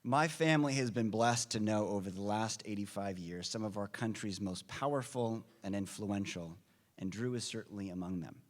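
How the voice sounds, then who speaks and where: A man giving an earnest, prepared introduction of a guest speaker at a conference forum, in a measured delivery. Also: lowish voice, slight background noise. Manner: earnest, measured delivery, reads off names and figures. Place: large conference hall. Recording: long reverb of a large hall.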